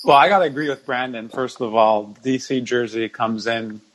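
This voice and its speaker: A man with a mid-pitched voice is a guest in casual sports podcast talk. He sounds understated and agreeable, feeling his way through his answers. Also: normal speed, low-quality recording.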